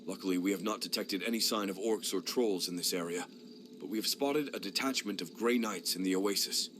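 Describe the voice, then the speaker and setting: A grave, watchful lord commander, played by a man, deliberate in delivery. His voice is very deep. A clear hiss sits under the recording. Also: natural voice. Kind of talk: game cutscene voice acting.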